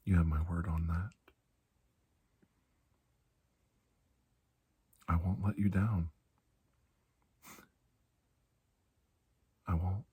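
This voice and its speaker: A man playing a calm, kindly doctor in a soft-spoken ASMR roleplay, reassuring and deliberate, with long pauses and breathy slips into whispering. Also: deep voice. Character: calm, kindly, ageless male doctor of alien medicine.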